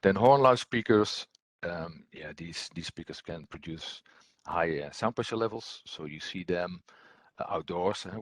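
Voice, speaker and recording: Low, husky man giving halting technical training. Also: fairly bright tone, no room sound. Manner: hesitant, halting, with frequent ums and repeated words. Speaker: product training instructor. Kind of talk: technical training presentation, explaining from slides.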